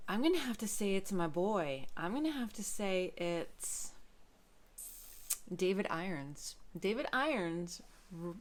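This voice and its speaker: A woman book vlogger chatting casually to camera in an expressive, emphatic way. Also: medium pitch.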